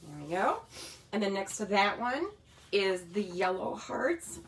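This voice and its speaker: A woman with a slightly raspy voice, chatting casually as she demonstrates a scrapbooking kit in her home craft room. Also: medium pitch, very animated, measured pace, slight background noise. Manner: chatty, talks while working with her hands.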